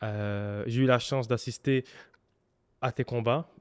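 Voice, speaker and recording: A man with a Québécois French accent hosts a casual podcast. His voice has an ordinary mid-range pitch. He speaks informally and often restarts his sentences. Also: fairly even intonation, unhurried, smooth. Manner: informal, hesitant, many fillers and restarts. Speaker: podcast host.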